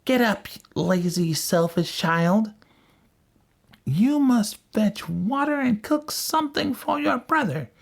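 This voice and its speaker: A storyteller voicing a wicked old witch from a fairy tale, scolding and bossy, with shrill, drawn-out commands. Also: lively intonation, vocal fry, heavily acted. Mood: scolding, gloating.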